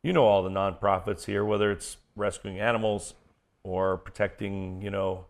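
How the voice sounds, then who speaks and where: Deep, booming American baritone man. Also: little pitch movement, moderate pace, gravelly. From Kansas City area, United States. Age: middle-aged. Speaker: guest, event MC and voiceover artist. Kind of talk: casual interview chat with stories.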